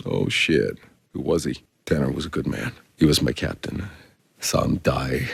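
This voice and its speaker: Very deep, gravelly game soldier. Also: male voice, heavily acted. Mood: grim. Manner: terse, dry, hard-bitten, swearing. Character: gruff, weary, sarcastic male Outrider soldier on a hostile planet. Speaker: video game protagonist. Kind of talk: dramatic video game voice acting.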